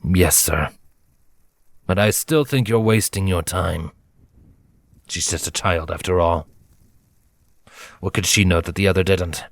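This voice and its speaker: A man voicing a gruff, irritable male pony soldier in a storybook reading, grumbling in an angry hiss, deferential but impatient. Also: sits low, measured pace, pauses often, dry recording. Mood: grudging. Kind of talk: storybook character voice in fan fiction reading.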